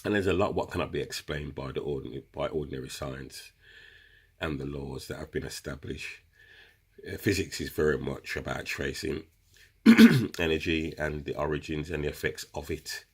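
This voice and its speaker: A man with a very deep, low voice and a husky edge, stuffy from a cold and a runny nose. He talks casually and directly to his viewers, explaining a practice step by step like a relaxed teacher. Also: moderate pace. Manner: chatty, addresses viewers as guys. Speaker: crystal seller explaining meditation. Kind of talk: casual instructional video talk.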